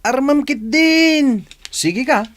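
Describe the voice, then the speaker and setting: A man's voice: very high-pitched, takes it slowly, a bit bright, no room sound, exaggerated voice. Man acting teasing young Ilocano cousin, dry sound. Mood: egging on. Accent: Ilocano Filipino. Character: teasing, pushy male cousin egging on his friend. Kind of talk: Ilocano comedy radio drama acting.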